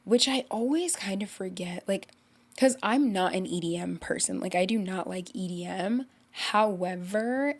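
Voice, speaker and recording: A young woman hosting a podcast, congested from illness, chatting casually and rambling with lots of "like" as she tells a story. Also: lowish voice. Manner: rambling, heavy use of "like", animated storytelling. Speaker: podcast host. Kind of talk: casual solo chat.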